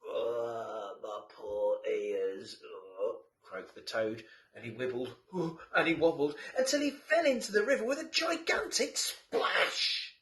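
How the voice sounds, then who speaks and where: A male teacher reading a children's story aloud in a dramatic, theatrical way with drawn-out words. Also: medium pitch, expressive, a bit bright. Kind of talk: animated storybook narration. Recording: roomy echo, distant from the mic.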